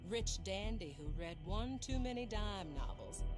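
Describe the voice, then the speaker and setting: A woman's voice: mid-range voice, animated, unhurried, lightly acted. An actress playing a tough female bounty hunter in a western game, with an American accent and a blunt, folksy, sardonic drawl. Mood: mocking. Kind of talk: dramatic game cutscene acting. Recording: music under the voice.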